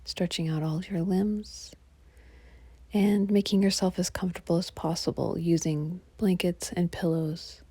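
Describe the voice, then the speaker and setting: A woman guiding a relaxation meditation in a soft, breathy, half-whispered, soothing delivery. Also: lowish voice, no echo. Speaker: yoga nidra meditation guide.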